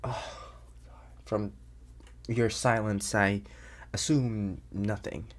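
A husky, lowish voice of an anxious teenage boy who is turning into a werewolf, acted by a young man. He speaks hesitantly and breathily, bewildered and pleading, often slipping toward a whisper, in the intimate manner of an ASMR audio roleplay. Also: steady tone, drawn-out words. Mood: nervous.

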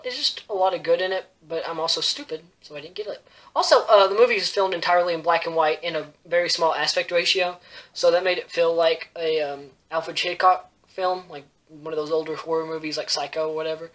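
Young male review host, bright edgy tone, halting casual delivery that wanders and puts itself down. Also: a little high. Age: young adult. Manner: halting, rambling, self-deprecating. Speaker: host of a movie review show. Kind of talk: casual movie review to camera. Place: small bedroom, indoors.

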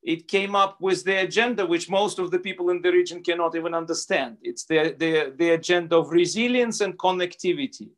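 Middle-aged man, slightly high voice. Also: brisk, hardly any pauses, a bit bright. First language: Russian. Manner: earnest, argumentative, repeats words as he restarts. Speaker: panelist. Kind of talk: panel discussion remarks. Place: home room indoors. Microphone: video call.

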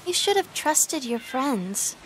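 Sweet, high-voiced teenage girl. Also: sing-song, takes it slowly, slight background noise, exaggerated voice, poor audio. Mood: coy, earnest. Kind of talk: video game cutscene acting.

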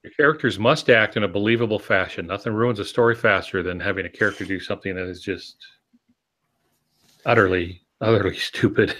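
An older man in his sixties speaks in a fairly low voice as a podcast co-host. He explains his ideas instructively over a video call from his home office. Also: fairly quick, fairly bright tone. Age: 60s. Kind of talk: explanatory podcast talk on writing.

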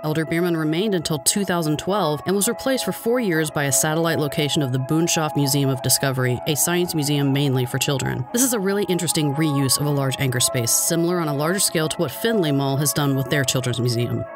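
A man with an American accent reading scripted documentary narration in a measured, informative way. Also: on the high side. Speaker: video narrator. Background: background music.

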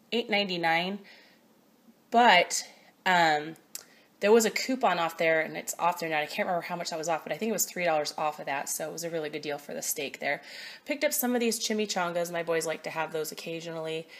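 Woman, 30s, hoarse cold voice, low-ish, rambling home haul chat on a phone camera. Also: fairly bright tone. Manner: chatty, rambling, hesitant with many ums. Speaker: vlogger. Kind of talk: casual grocery haul show-and-tell. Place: at home, by a table.

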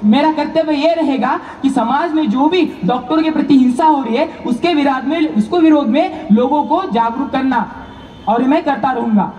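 A man playing a beaten but dutiful doctor in a stage drama, pleading in loud, declamatory, repetitive phrases. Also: extremely high pitch, moderate pace. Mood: resolute. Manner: declamatory, repeats phrases for emphasis. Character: beaten, anguished yet dutiful male doctor, pleading to society. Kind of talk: loud theatrical stage monologue. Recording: echoey hall from far off, noisy.

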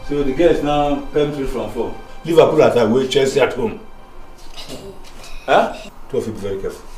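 A male actor playing an excitable, scolding father in a Nigerian comedy film, with a Nigerian accent. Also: medium pitch, moderate pace, distant mic, faint music behind, close to an everyday voice. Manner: blustering, scolding. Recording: roomy, off-mic film sound.

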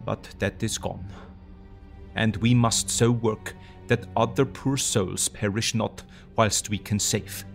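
An actor playing a grave, courteous foreign professor who hunts vampires, speaking formally and solemnly in an audio drama. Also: male voice, fairly low pitch, creaky. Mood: solemn, sorrowful. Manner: formal, solemn, quaintly phrased.

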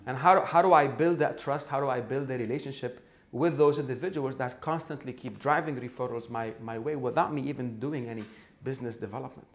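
Brief: Arabic-native male sales trainer, 40s, lively anecdotal delivery, studio lapel mic. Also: mid-range voice, brisk, hardly any pauses. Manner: animated, acts out anecdotes with sound effects. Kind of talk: storytelling interview answer. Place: studio set with orange backdrop.

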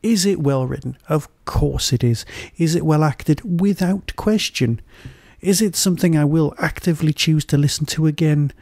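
A man's voice: fairly high pitch, moderate pace, gravelly, darkish tone, no echo, studio quality. A man hosting a podcast, delivering a review as a monologue and often answering his own rhetorical questions.

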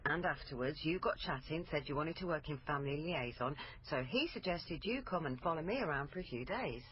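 An actress with a British accent playing a probing, authoritative police liaison officer in a naturalistic radio drama. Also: female voice, low-pitched, animated, brisk, dull audio, a little hiss or hum. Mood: stern, probing. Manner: pointed, interrogating. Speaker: actor in a radio crime drama.

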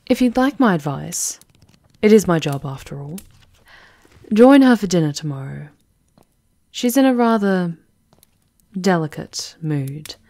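A woman acts a composed High Enchantress in a gothic ASMR tale. Her voice is very low and she takes her time, formal and knowing. It is recorded dry and close. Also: warm tone, dry recording. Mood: brisk, knowing. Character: shrewd, composed female High Enchantress advising a vampire countess. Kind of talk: ASMR roleplay acting.

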